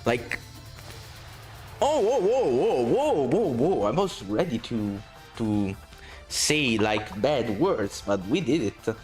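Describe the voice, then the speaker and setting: Excitable Italian-accented male game streamer. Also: mid-range voice, slow, faint music behind. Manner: excitable, swearing, reading chat aloud. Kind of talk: casual gameplay commentary.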